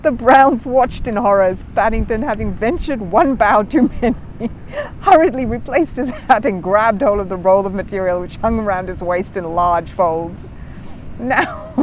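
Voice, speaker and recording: A woman narrates a children's storybook expressively. Her voice sits at a medium pitch and her delivery is animated. There is clear noise behind her. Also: rough recording. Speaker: storyteller reading a children's book aloud.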